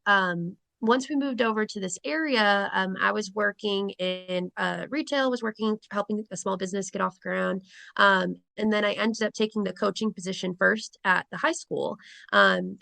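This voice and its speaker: A woman's voice: mid-range voice, vocal fry, bright, forceful tone, dry recording. A woman in her twenties from Rhode Island, a teacher and coach talking casually as an interview guest, chatty with lots of ums. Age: 20s.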